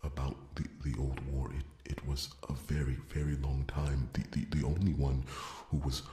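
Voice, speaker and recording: A man performs a devoted fantasy blacksmith and warrior with a rock-bottom pitch and little melodic movement. He speaks earnestly and haltingly, often repeating words, breathy to the edge of a whisper. It is an ASMR roleplay with a noticeably roomy, echoing sound. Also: level tone, mellow. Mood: grave, reflective.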